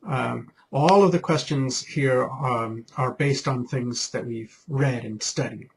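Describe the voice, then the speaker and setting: A man talks slowly in a clip recorded at home, with faint room sound behind him. He explains a homeschool study session and stops often to hesitate with ums and uhs. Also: mid-range voice, vocal fry, a little hiss or hum, poor audio. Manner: slow and halting, many ums and uhs. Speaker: homeschooling parent.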